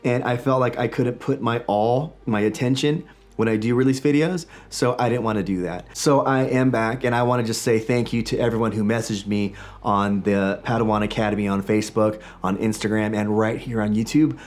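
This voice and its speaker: Slightly raspy man chatting to camera. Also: on the low side, fairly even intonation, brisk, a little mellow. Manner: enthusiastic, chatty, talks with his hands. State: recovering from illness. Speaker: toy collecting channel host. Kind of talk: casual vlog talk to camera. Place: small home studio with toy shelves.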